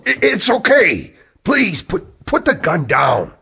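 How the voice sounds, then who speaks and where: An actor playing an agitated, earnest man who believes in a dark conspiracy, in a radio-style drama. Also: sits high, huge pitch swings, takes it slowly, vocal fry, breathy edge, full character voice. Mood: pleading, agitated. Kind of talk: scripted radio-play acting.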